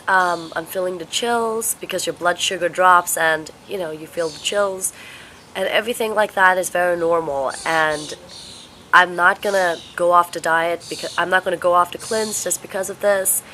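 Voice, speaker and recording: A young woman vlogging casually at home, stuffed up and congested, talking in a slow, halting, tired way. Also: fairly low pitch, pushed and bright, slight background noise. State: congested, sniffly with a cold. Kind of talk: casual vlog chat. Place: home, indoors.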